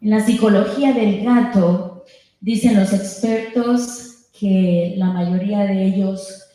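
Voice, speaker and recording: A woman's voice: mid-range voice, fairly even intonation, unhurried, warm tone. A native Spanish-speaking woman with a Spanish accent, giving a public comment speech in short passages as a union member. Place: indoor public meeting hall. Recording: echoey meeting room.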